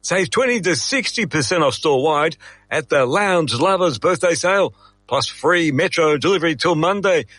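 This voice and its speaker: A man's voice: on the high side, expressive, normal speed, fairly bright tone, dry recording. An Australian man reading a scripted radio traffic bulletin.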